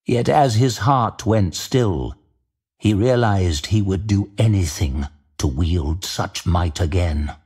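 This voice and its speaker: A man narrating a scripted fantasy tale dramatically, with a measured, grave storytelling manner. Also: deep voice, a little mellow, breathy edge.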